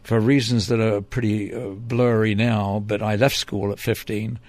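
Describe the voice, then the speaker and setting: A man's voice: lowish voice, level tone, normal speed, darkish tone, no room sound. An older man from England, a former TV reporter telling long stories as an interview guest, with many fillers and restarts. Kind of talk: relaxed storytelling in an interview.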